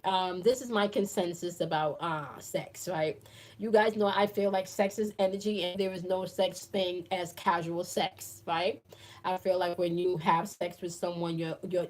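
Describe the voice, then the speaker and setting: A woman's voice: lowish voice, darkish tone. A woman in her forties hosting a casual live chat, reading viewer comments aloud and answering with playful, laughing banter. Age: 40s. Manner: chatty and playful, laughs often, addresses viewers as y'all.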